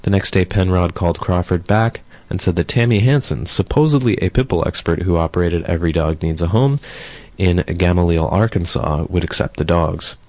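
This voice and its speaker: Brief: hoarse radio host, man, very low voice, dry studio sound, steady news reads and relaxed interview chat. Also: normal speed, dry recording. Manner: reads news steadily, then chats with fillers. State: hoarse from a cold.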